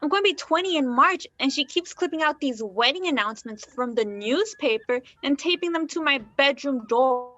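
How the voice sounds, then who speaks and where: A woman's voice: high voice, lively intonation, moderate pace, hardly any pauses, fairly bright tone, muffled recording. A woman acting a naive, unsettled nineteen-year-old confiding in a stranger in a naturalistic play. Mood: exasperated. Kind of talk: naturalistic one-act play dialogue.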